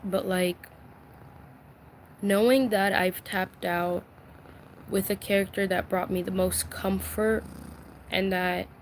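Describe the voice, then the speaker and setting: A woman speaks very slowly on a live stream from her bedroom, pausing often and restarting her sentences. Her tone is soft and confiding, close to tears, and a faint hum of room ambience sits behind her. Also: medium pitch, steady tone. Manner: slow, halting, many fillers and restarts. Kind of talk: emotional live-stream vent.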